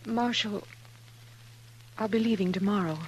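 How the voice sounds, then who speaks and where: A woman's voice: mid-range voice, fairly even intonation, unhurried, lightly acted, low-quality recording. Woman acting loyal frontier sister, mid-range voice, formal politeness, proud restraint, vintage radio western, slight noise. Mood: stricken, dignified. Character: proud, loyal, grieving sister in Old West. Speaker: radio drama actress. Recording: old radio recording with faint noise, hum.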